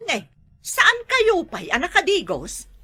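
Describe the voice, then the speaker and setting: Bright, animated Ilocano housewife, acted by a woman. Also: extremely high pitch, takes it slowly, exaggerated voice. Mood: bossy. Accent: Ilocano Filipino. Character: busy, put-upon housewife and mother, grumbling about chores. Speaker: actor in an Ilocano comedy drama. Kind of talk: comedy drama dialogue.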